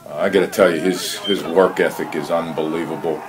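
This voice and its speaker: Man, football coach, very low pitch, earnest measured delivery, repeated phrases, conference call, faint noise. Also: fairly even intonation. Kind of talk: conference call interview answers. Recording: noisy conference call audio.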